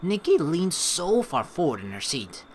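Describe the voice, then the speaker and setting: A man's voice: a little high, a little slow, a little hiss or hum. A man doing casual playthrough commentary, reading narration aloud and joking with plenty of swearing, on a close mic. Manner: animated, joking, swears freely. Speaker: let's play streamer.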